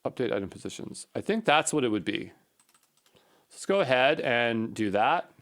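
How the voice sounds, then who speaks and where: A man with an average-pitched voice narrates a coding session in a casual way. He thinks out loud as he goes, informally muttering to himself like someone troubleshooting on screen. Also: very animated, normal speed. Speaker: programmer recording a coding session.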